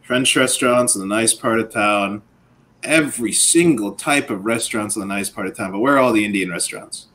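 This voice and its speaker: A man's voice: fairly low pitch, fairly quick, fairly bright tone, slight background noise. A man co-hosting a podcast who speaks thoughtfully and stammers when starting his points. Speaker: podcast host. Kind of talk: casual chat.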